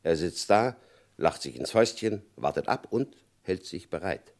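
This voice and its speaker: An actor playing a stern, shrewd male FBI agent in a radio crime drama, speaking in a calm, probing, knowing way. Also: low voice, moderate pace. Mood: determined.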